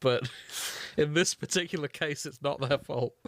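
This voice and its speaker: A man's voice: a little high, a little slow, a bit bright, no echo. A man co-hosting a casual podcast, chatting in a jokey, irreverent and sweary way. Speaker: podcast co-host. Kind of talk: casual banter.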